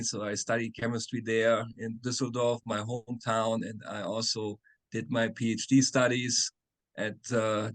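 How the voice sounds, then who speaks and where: A man's voice: mid-range voice, fairly bright tone. A middle-aged man, a chemistry professor answering interview questions on a video call, explaining patiently with many "uh"s and tag questions. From Germany. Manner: explanatory, frequent "right?" and "uh".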